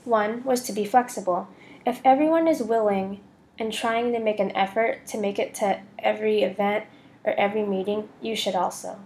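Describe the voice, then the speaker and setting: A woman's voice: medium pitch, normal speed, a little mellow. Student presenter, young American female, mid-range voice, scripted slideshow narration. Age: young adult.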